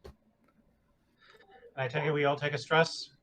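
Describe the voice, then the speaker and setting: A man's voice: a little high, level tone, a little slow, fairly bright tone. A man playing a tabletop game, chatting casually about his moves and dice rolls. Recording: faint background noise.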